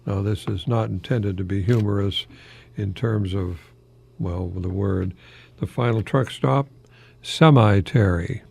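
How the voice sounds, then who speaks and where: An older American man hosting a folksy solo radio talk in a halting, rambling, easily amused manner. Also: on the low side, vocal fry, a little mellow, husky. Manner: chuckles often at his own remarks, halting and rambling. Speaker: radio host, longtime English professor.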